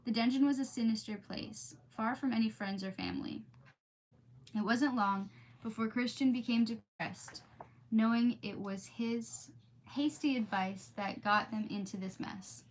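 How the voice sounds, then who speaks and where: A woman's voice: a little high, measured pace, low-quality recording. A woman livestreaming in a chatty, informal, easily sidetracked way as she reads aloud and talks to viewers. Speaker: livestream host reading aloud. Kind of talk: casual livestream chat and storybook read-aloud.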